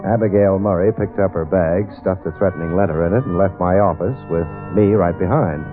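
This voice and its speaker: An actor playing a wisecracking American private detective in 1940s radio drama, dry, streetwise and sardonic. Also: male voice, sits low, a little fast, close to an everyday voice. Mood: dry narration. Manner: dry, sardonic, hesitant asides. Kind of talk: 1940s radio detective drama acting, with first-person narration. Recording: tinny old radio recording, music.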